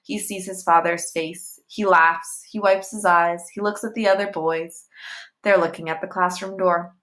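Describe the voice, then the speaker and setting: A woman narrating a novel as a read-aloud, in short, measured sentences. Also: on the low side, steady tone, fairly bright tone. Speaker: reader, teacher.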